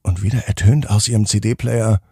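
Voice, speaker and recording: Gravelly, husky man telling bedtime stories. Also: lowish voice, fairly even intonation, moderate pace, dark tone, dry recording, studio quality. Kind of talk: children's bedtime story podcast narration.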